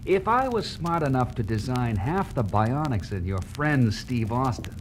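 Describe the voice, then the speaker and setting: Smug, menacing mad-scientist villain. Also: male voice, medium pitch, sing-song, unhurried, noisy background. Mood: gloating, mocking. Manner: smug, menacing, mock-friendly taunting. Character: vengeful fired bionic scientist turned gloating male kidnapper. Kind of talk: 1970s audio adventure acting.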